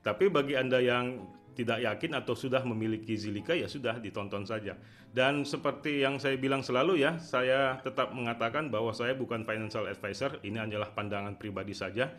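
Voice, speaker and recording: A man's voice: mid-range voice, brisk, fairly bright tone, soft background music. A man giving casual, conversational market commentary as a video host, often ending phrases with a tag word. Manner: conversational, repeatedly tags phrases with "ya".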